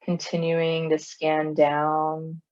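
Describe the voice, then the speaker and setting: A woman leading a guided body scan meditation in a calm, gentle, unhurried manner, with long pauses. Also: low-pitched, lo-fi sound. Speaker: mindfulness meditation instructor.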